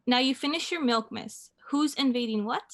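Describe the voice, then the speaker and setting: Radio play mother: female actor, patient, mildly amused, unhurried, slightly high pitch. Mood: gently chiding. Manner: gently chiding, unhurried.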